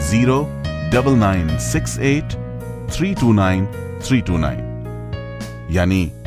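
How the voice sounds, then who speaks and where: A man hosting a radio show in a conversational, playful and slightly theatrical way. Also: on the low side.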